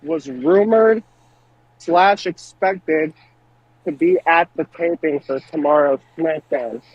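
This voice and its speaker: A man with a fairly high voice speaks in a casual podcast style as one of the co-hosts. The sound is a little dull and very dry, without room echo. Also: slow, husky. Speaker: podcast co-host. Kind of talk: casual wrestling podcast discussion.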